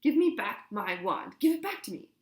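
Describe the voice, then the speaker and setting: A woman reading a storybook, voicing a furious young witch who screams and screeches in a shrill voice. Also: expressive, fairly quick, fairly bright tone, reverberant.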